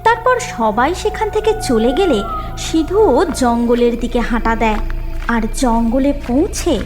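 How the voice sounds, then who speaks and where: A woman's voice: a little high, lively intonation, measured pace. A woman narrator delivering scripted storytelling narration for a cartoon tale. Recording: music bed behind the voice.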